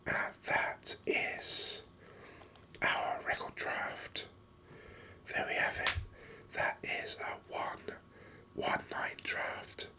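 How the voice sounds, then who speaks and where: A gaming host giving ASMR commentary in a breathy whisper, musing in an unhurried, hesitant way close to the microphone. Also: pushed and bright.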